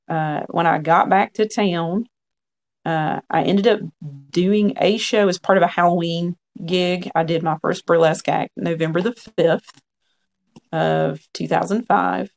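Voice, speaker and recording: Gravelly-voiced man in his forties. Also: fairly high pitch, a little slow. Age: 40s. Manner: frank, earnest, quotes own past thoughts. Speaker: podcast guest. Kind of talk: conversational storytelling.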